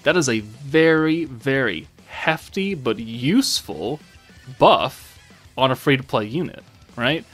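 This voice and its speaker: A man's voice: mid-range voice, lively intonation, takes it slowly, a bit bright, faint music behind. A man giving casual, informal game commentary as a channel host, rambling and thinking aloud as he goes.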